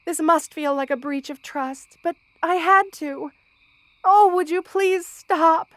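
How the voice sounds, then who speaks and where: A woman's voice: very high-pitched, slow, dry recording, heavily acted. A woman playing a kind, protective spider queen with a tender, formal manner in an ASMR roleplay. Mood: alarmed, pleading. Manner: tender, doting, softly commanding, formal wording. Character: motherly female spider queen, kind, protective, regal monster. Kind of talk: ASMR roleplay audio drama.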